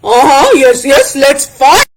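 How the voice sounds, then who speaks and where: A feisty young villager from a storybook, played by a woman, high-pitched and lively. The lines are plain-spoken and emphatic, with words repeated for weight. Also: measured pace. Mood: combative. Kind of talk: animated oral storytelling character voice.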